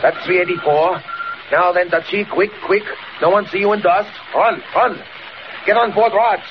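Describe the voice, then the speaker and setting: An actor playing a ruthless, wary Western gang boss in an old radio drama, gruff and commanding with clipped tough talk. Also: male voice, sits high, normal speed, close to an everyday voice. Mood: urgent. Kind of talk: 1940s radio acting. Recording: dull, very noisy vintage radio recording.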